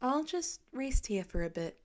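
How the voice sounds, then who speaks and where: Brief: a woman reading as a worn-out, half-frozen teenage boy, hushed and trembling, barely above a whisper. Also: high voice, very animated, unhurried. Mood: weary. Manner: soft, shivering whisper. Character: freezing, exhausted teenage boy, quiet and weary. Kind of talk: dialogue read in an audiobook.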